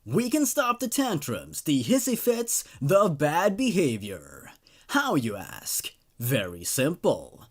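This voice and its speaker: A man voicing a slick, menacing company pitchman in an infomercial-style horror story. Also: huge pitch swings, takes it slowly, full character voice. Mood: sly, deliberate. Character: slick, menacing company pitchman selling child-scaring services.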